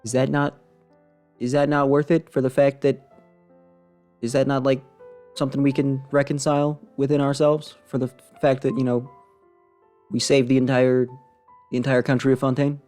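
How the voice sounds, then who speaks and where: A man's voice: medium pitch, level tone, moderate pace, long pauses, a little mellow. A man giving casual, slangy live commentary as a game streamer, wry and rambling. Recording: voice over faint game music.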